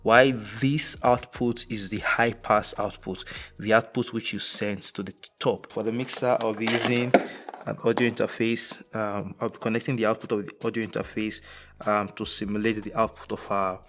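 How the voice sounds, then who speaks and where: A young man presenting an instructional tutorial on audio gear in a measured, methodical way. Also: on the low side, steady tone, background noise. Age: young adult. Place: indoors, dark studio room.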